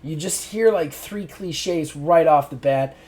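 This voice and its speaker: A man's voice: a little high, slow, darkish tone. A middle-aged man giving a frank spoken game review, often exasperated, with lots of fillers and restarts.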